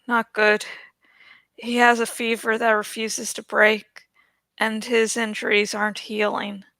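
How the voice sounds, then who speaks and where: A woman voicing a brisk, kindly, scolding village healer in a storybook reading, with a firm, motherly manner. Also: a little high, completely flat delivery, sharp tone, no room sound. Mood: concerned.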